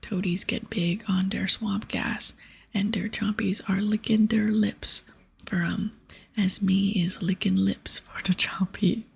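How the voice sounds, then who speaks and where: A woman voicing a crude, hungry ogre hunter in a breathy whisper, with childlike broken grammar, for an ASMR game playthrough. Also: mid-range voice, steady tone, heavily acted. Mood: hungry, eager. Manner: broken baby-talk grammar, drawn-out words.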